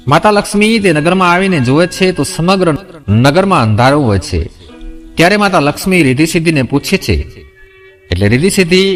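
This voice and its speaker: A man narrating a scripted moral folk tale as a storyteller. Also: on the high side.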